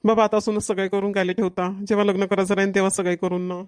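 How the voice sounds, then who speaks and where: A man's voice: high-pitched, little pitch movement, fast, clean tone, dry recording, clean studio sound, natural voice. A man voicing a reluctant young son in a cartoon family comedy, grumbling and protesting with a Varhadi Marathi accent.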